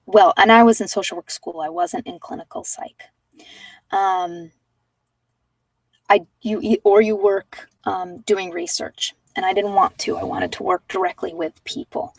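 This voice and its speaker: A woman's voice: medium pitch, gravelly, husky, no echo. Hesitant, reflective woman, slightly distant mic. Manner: hesitant, reflective, rambling with pauses. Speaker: meditation guide and former social worker. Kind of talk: informal unscripted ASMR-channel talk.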